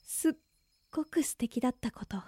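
A woman's voice: sits high, lively intonation, a little fast, warm tone, slightly rough, no echo, exaggerated voice. A woman playing a tender, wistful twenty-year-old village girl in a Japanese radio drama, full of longing. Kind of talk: radio drama acting with inner monologue.

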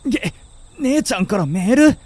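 A woman's voice: a little high, very animated, moderate pace, darkish tone, slight background noise. A woman playing a curt, grumpy but secretly caring teenage boy in a radio drama. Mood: grumpy, flustered. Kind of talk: amateur radio drama acting.

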